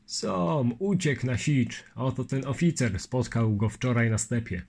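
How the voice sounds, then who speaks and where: A man voicing a calm, matter-of-fact older military commissioner in an audiobook reading. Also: medium pitch, measured pace. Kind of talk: audiobook character voice.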